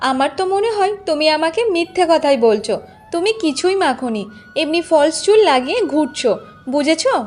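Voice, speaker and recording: A woman plays a young cartoon village wife with a very high voice, vain and bossy. She nags and boasts in a demanding way, with music clearly playing underneath. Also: animated, fairly quick, a little mellow. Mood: suspicious, surprised. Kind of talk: Bengali cartoon voice acting.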